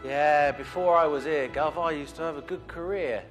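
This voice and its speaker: A man's voice: fairly high pitch, moderate pace, faint music behind, close to an everyday voice. An actor playing a chatty male prisoner who boasts about his car-stealing past to a guard.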